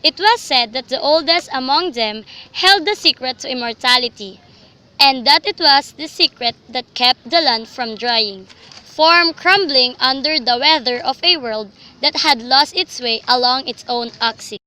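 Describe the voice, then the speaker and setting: A woman's voice: sits high, a little slow, pushed and bright, clean tone. A young woman reading scripted literary narration for a student radio drama. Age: young adult.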